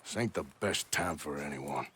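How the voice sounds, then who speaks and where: An actor playing a gruff, weary outlaw cowboy with a reluctant conscience, blunt and plain-spoken, with a rural Western American accent, in a dramatic video game. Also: male voice, extremely low pitch, moderate pace, bright, forceful tone, full character voice. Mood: stern, impatient.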